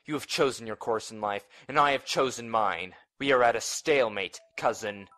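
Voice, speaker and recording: An actor playing a bitter, agitated young heir in a gothic soap audio drama, with a melodramatic, accusing delivery. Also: male voice, mid-range voice, moderate pace, sharp tone, natural voice. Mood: bitter anger.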